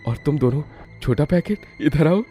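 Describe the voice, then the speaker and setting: A man's voice: a little high, lively intonation, normal speed, warm tone, soft background music, natural voice. A man voicing a rude, drunken, bullying father in a cartoon, with a slangy taunting manner.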